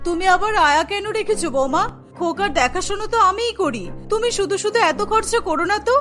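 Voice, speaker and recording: A woman's voice: very high voice, lively intonation, fast, a bit bright. A woman voicing a caring, firm older mother-in-law in a cartoon, earnest and admonishing, practical about money. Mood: concerned. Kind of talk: Bengali cartoon dialogue. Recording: music behind the voice. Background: music.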